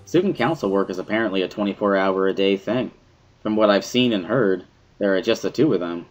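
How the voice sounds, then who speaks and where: A man reading first-person visual novel narration in a measured, reflective way, as an amateur dramatic reading. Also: lowish voice, muffled recording. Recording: music behind the voice.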